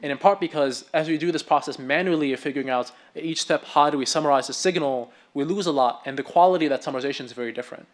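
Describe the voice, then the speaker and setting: Man, conference presenter, rapid, eager delivery. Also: on the high side. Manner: quick, enthusiastic, with frequent restarts. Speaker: researcher presenting at a medical symposium. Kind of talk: conference talk.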